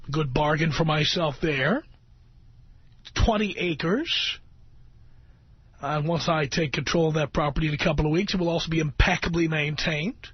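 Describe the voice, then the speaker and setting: A middle-aged American man hosting talk radio with an opinionated monologue, speaking in an emphatic, sardonic way with deliberate phrasing. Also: on the high side, breathy edge. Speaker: radio talk show host. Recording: dull, roomy radio recording with music.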